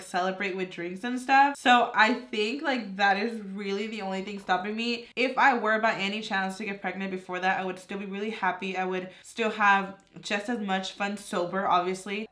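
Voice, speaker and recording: A young woman in her twenties chats away as she does her makeup, hopping between thoughts with plenty of "like" and "you know". Her voice sits at an ordinary middle pitch, and the room around her rings with a noticeable echo. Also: a bit bright. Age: 20s. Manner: rambling, filler-heavy, thinking aloud. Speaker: beauty vlogger. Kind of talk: chatty get-ready-with-me makeup talk. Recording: roomy echo.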